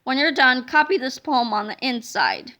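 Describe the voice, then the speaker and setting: A woman's voice: high-pitched, lively intonation, normal speed, sharp tone, voice pushed down. A woman voicing a mean, bossy older female schoolteacher in a storybook, with harsh, snapping commands.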